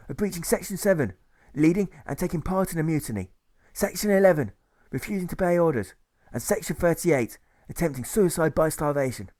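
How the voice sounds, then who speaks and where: A man's voice: on the high side, darkish tone, voice pushed up, lightly acted. A man voicing a blustering, outraged military police chief who squawks old-fashioned insults and orders in an audiobook. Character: blustering, cursing provost marshal barking insults and orders.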